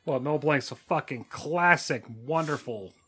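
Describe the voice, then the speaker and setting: A man's voice: fairly high pitch, measured pace, crowd noise. A man hosting a show in a loose, casual chat, introducing clips as he goes. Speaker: show host.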